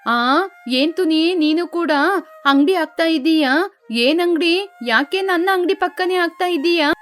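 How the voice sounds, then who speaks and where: Woman voicing a proud, high-voiced crow vendor. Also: unhurried, faint music behind. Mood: questioning. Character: proud, competitive female crow samosa seller. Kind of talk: animated children's story dialogue.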